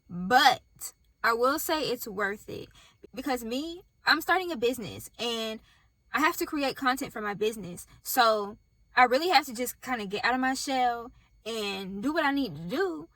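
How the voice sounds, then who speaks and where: Bright-voiced Southern woman vlogging in car. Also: fairly high pitch. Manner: candid, chatty, talks to viewers directly. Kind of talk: casual talk to camera. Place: parked car. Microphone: phone on tripod.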